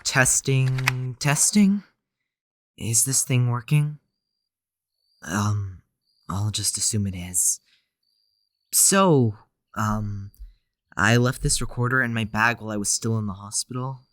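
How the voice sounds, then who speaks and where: A man's voice: mid-range voice, slow pace, long pauses, fairly bright tone, no echo. A man playing a tired, withdrawn young psychiatric patient in an audio drama, speaking in an anxious, subdued, hesitant way. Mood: hesitant, resigned.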